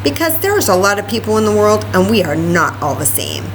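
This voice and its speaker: A woman's voice: medium pitch, sing-song, fairly quick, a little hiss or hum, low-quality recording. A woman hosting story time for young children, chatting and reading aloud with exclamations and questions addressed to her little listeners.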